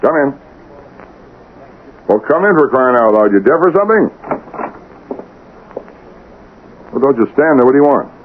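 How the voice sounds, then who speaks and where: Man acting an impatient hotel manager, American accent, gruff colloquial grumbling, animated swings, old radio drama with faint hiss. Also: mid-range voice, quick pace, narrow, tinny audio, lightly acted. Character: gruff, impatient male hotel banquet boss.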